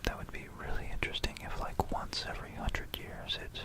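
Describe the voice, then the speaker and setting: A person whispering an informal, musing history talk for ASMR, correcting themselves along the way, close to a stereo microphone. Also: brisk. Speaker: ASMR video creator. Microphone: close stereo binaural microphone.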